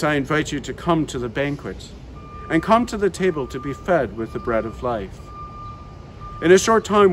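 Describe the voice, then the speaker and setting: A middle-aged man, a parish priest, preaching a sermon outdoors in a garden with earnest, rising and falling emphasis. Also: medium pitch.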